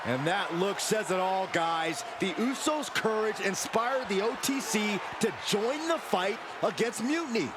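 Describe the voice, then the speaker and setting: A man's voice: high-pitched, expressive, unhurried. A man doing enthusiastic, dramatic colour commentary on wrestling in a video game. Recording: loud crowd and arena noise.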